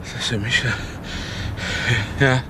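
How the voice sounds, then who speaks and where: A man's voice: a little slow, noisy background, natural voice. An actor playing a bewildered, hesitant male civilian in a radio crime drama, stammering as he speaks.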